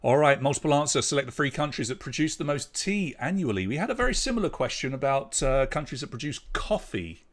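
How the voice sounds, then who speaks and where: A man's voice: medium pitch, sing-song, fast talker. A man with an English accent hosting a live online pub quiz, reading out questions and scores and bantering with the players. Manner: chatty, jokey banter with players between questions. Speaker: quiz host.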